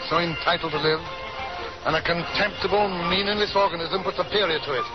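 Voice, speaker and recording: An actor in a 1930s radio drama playing a kindly, troubled doctor, speaking formally and with emphasis. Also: male voice, sits high, brisk, lightly acted. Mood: wistful, bitter. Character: reflective, kindly male doctor, bitter and dismayed. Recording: muffled 1930s radio, loud music behind.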